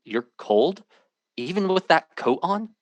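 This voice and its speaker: An actor playing a bewildered, logical mathematics professor in an old-time radio drama, with a dramatic, urgent delivery. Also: male voice, on the high side, sing-song, takes it slowly, no room sound, muffled recording. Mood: incredulous.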